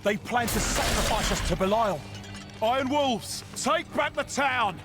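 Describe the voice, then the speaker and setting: A rallying soldier in a fantasy game. His voice is high and very animated, urgent, with music behind it. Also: unhurried.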